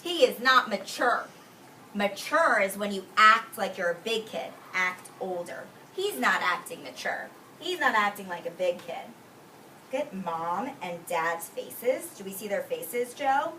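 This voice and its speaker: Preschool teacher, woman, storybook read-aloud: unhurried, bright, expressive, explains simply, picked up from across the room. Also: medium pitch. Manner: expressive, deliberate, drawn-out emphasis on key words. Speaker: preschool teacher reading a picture book aloud. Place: indoors in a classroom with young children. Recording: roomy distant mic with faint background noise.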